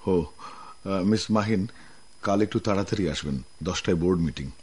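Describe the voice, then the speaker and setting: Low-voiced, composed office manager. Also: male voice, moderate pace, a little mellow, husky. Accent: Bangladeshi. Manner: formal, assured. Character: firm, composed, principled new administration manager. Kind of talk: radio drama acting.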